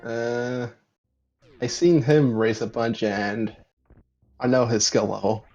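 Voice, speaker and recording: A man's voice: mid-range voice, steady tone, slow, a little mellow, faint music behind, poor audio. A man from Canada giving short, understated answers as a speedrunner in a casual interview. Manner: laconic, understated. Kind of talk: casual post-race interview.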